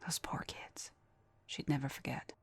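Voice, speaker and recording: A woman narrating a scripted horror story dramatically, often dropping to a hush at the ends of phrases. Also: unhurried, no echo, whispered. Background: background music.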